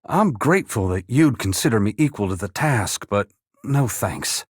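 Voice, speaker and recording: An actor playing a humble, weary dead farmer-saint in a fantasy video game, plain-spoken yet solemn, with a gravelly, husky voice. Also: male voice, on the low side, animated, moderate pace, no echo, clean studio sound. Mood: wry, humble. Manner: plain-spoken rustic phrasing mixed with solemn archaic oratory. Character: humble dead farmer-saint, once god-touched, wry, earnest and weary. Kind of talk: dramatic fantasy game dialogue.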